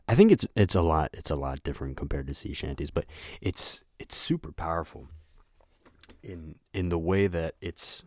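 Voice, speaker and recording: Low-voiced young man, flat and rambling. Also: no echo, clean studio sound. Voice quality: monotone, flat voice. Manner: rambling, hesitant, many fillers. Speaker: podcast host. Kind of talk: casual solo podcast chat.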